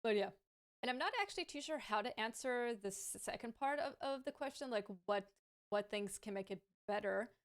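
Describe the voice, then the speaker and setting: A woman's voice: on the high side, lively intonation, a little fast, clean tone. A woman streamer answering viewer questions in a casual, frank way, stammering often as she repeats sounds and restarts words. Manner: thoughtful and frank, with light laughs.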